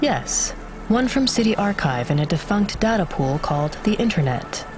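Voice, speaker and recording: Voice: medium pitch, big pitch swings, normal speed, over music, close to an everyday voice. An actor playing an emotionless AI assistant in a video game, reciting data in a formal, precise way. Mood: clinical. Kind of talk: scripted game dialogue, reading data.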